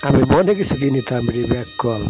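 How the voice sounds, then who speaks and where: A man voicing a gentle village father in a Bengali moral cartoon. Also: medium pitch, expressive, brisk, close to an everyday voice. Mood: gentle, attentive. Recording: music behind the voice.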